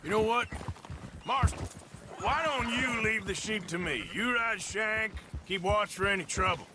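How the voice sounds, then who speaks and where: An actor playing a gruff, sarcastic outlaw cowboy with an American drawl in a video game cutscene. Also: male voice, sits high, unhurried, noisy background. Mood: assertive, teasing.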